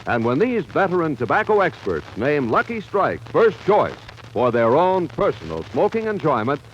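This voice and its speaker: Animated higher-voiced male radio pitchman. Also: moderate pace. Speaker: commercial announcer. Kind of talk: 1940s scripted radio advertisement. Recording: muffled, slightly noisy 1940s radio recording.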